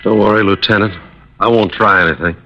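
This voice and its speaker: An actor in a 1950s radio drama playing a weary, heartbroken husband who speaks in a halting, resigned, wistful way. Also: male voice, lowish voice, fairly even intonation, close to an everyday voice. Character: weary, heartbroken husband confessing to covering for his firebug wife. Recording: dull, noisy old radio recording.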